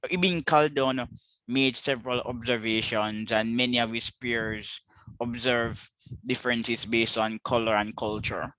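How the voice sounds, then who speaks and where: A man from Jamaica with a Jamaican accent, hosting a scholarly interview in a measured way, sometimes repeating the first words of a phrase. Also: medium pitch, fairly even intonation, sharp tone, dry recording, low-quality recording. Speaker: podcast host, interviewer.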